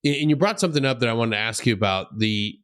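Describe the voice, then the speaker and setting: A man's voice: medium pitch, lively intonation, fast, a bit bright, clean tone. A man hosting a podcast interview, talking in an enthusiastic, casual way with frequent laughs and stumbling restarts. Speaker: podcast host.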